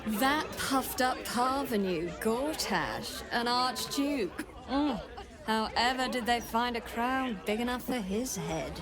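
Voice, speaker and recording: A woman's voice: on the high side, animated, takes it slowly. An actress playing a haughty, sneering noblewoman with drawling disdain in a video game. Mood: scornful amusement. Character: haughty, sneering female aristocrat. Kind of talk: video game character acting. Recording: music and crowd behind.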